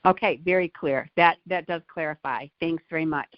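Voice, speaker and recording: Lower-pitched woman calling in, muffled line. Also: moderate pace, no echo. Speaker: caller with questions. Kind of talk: polite conversational phone questions. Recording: dull lo-fi phone call audio.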